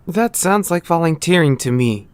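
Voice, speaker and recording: A woman's voice: lowish voice, lively intonation, moderate pace, lightly acted. A woman playing a dry, reluctant, no-nonsense young cartoon creature in an amateur audio drama, with a sardonic manner.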